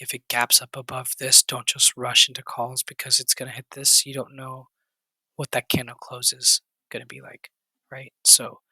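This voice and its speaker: A man with a raspy, hoarse voice, going over stock charts in a quick, casual walkthrough with fillers and restarts. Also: medium pitch, bright, forceful tone, dry recording, poor audio. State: hoarse, recovering voice. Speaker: stock trading channel host.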